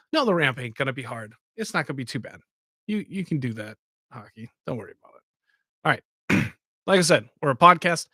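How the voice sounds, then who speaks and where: A man's voice: mid-range voice, expressive, fairly quick, long pauses, husky, no room sound, clean studio sound. A man hosting a live stream, chatting casually and rambling as he talks to his viewers. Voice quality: says he has a soft voice. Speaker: podcast host.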